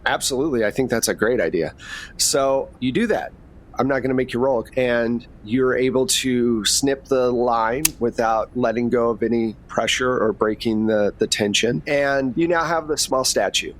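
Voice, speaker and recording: Male game master, average pitch, casual and explanatory tabletop narration. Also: normal speed, a little hiss or hum. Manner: conversational, explanatory.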